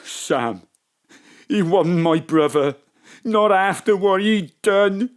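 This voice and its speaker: Blustering villain, slow and defiant. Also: male voice, high voice, smooth. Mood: bitter, defiant. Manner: scornful, colloquial, blustering. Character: guilty, defiant Welsh murderer, cornered and blustering.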